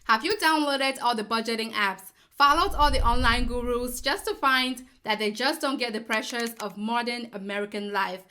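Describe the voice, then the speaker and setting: A woman's voice: on the high side, bright, forceful tone. A woman from Cameroon, a personal finance educator, giving a scripted, persuasive explainer full of rhetorical questions.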